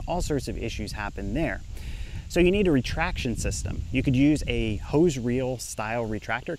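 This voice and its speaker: A man's voice: medium pitch, background noise. Young man explaining outdoors on a street. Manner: casual, conversational, gestures while explaining. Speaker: engineer and startup founder. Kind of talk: explainer vlog to camera.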